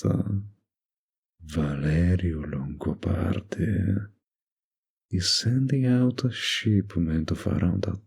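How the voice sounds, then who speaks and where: A man playing a smug, dominant mafia boss in an intimate ASMR roleplay, with a gravelly, husky voice and a suggestive, deliberate drawl. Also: low-pitched, dark tone, exaggerated voice. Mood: sly, teasing. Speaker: roleplay voice actor.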